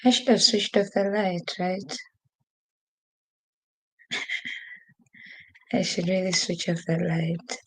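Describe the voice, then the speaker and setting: A woman's voice: fairly low pitch, fairly even intonation, extremely slow, long pauses, slightly rough, poor audio. A woman hosting a casual live stream from home, chatty and rambling with frequent laughs, saying she is losing her voice. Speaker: podcast host. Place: newly moved-into home, desk by a window. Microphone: computer microphone.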